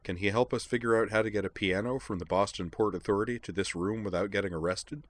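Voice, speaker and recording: A man's voice: on the low side, fast talker, close to an everyday voice. A man voicing a polite young woman student with a tentative, wry manner in an audiobook story.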